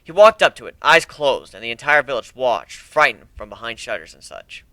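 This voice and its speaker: A man giving an expressive, wry dramatic reading of a first-person story as an amateur narrator. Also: medium pitch, moderate pace, no room sound, low-quality recording.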